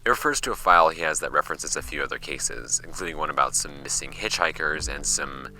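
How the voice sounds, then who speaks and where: Horror audio drama archivist: rock-bottom pitch, gravelly fry, brooding, deliberate, reading strange case files. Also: male voice, pushed and bright, a little hiss or hum, heavily acted, low-quality recording. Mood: pensive, intrigued. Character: male paranormal case archivist, musing over strange files.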